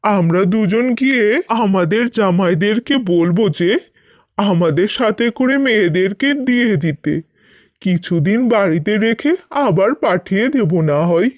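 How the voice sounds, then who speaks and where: A man's voice: sits high, measured pace, no room sound. Guy voicing kind middle aged village dad in a cartoon, soothing, slightly muffled dry audio. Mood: tender, reassuring. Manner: tender, reassuring. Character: caring middle-aged village father, patient husband. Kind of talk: scripted cartoon dubbing.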